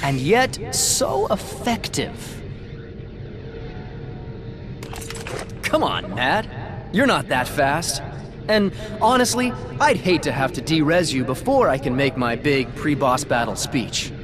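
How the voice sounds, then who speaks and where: A smug, theatrical game villain, with a raised pitch that swoops around over a musical score. He is a pompous executive who draws out his taunts slowly. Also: very animated, over music. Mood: smug taunting. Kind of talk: video game cutscene acting.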